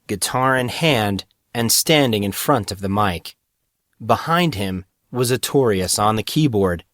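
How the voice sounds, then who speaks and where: A man's voice: lowish voice, fairly bright tone, no room sound, studio quality. A man reading scripted fantasy fiction as the narrator of a story podcast.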